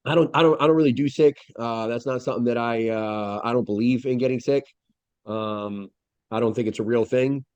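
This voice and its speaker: A man's voice: medium pitch, brisk, darkish tone, clean tone, no echo, dull audio. A man co-hosting a podcast in casual chat over a video call, congested through the nose, rambling and swearing with lots of fillers and restarts. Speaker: podcast co-host.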